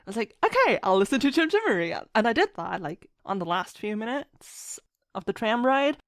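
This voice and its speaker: A woman chatting casually as a podcast guest, telling stories in an animated way and acting out quotes. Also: on the high side, brisk, no room sound, studio quality. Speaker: podcast guest, fan musician and writer. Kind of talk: casual chat.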